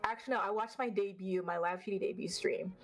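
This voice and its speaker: A woman with a deeper voice and a slight stutter, chatting fast and bluntly as an interview guest, swearing freely. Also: steady tone. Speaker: vtuber guest. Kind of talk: casual interview chat.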